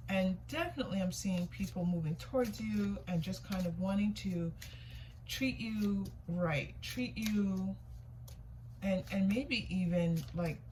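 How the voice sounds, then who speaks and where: A woman with a mid-pitched voice hosts a tarot reading in a casual, conversational style. She muses aloud as she goes, circling back over phrases and asking herself questions. Background noise is audible behind her. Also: darkish tone, rough recording. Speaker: tarot reader hosting a reading. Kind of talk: casual intuitive card reading, thinking aloud. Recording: clear background noise, room ambience.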